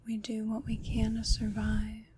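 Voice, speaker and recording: A woman plays a kind, comforting witch in an ASMR roleplay. She whispers very slowly and evenly, leaving deliberate pauses and sounding gentle and reassuring. Noticeable noise sits behind her hushed voice. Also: a little high, monotone. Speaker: ASMR performer.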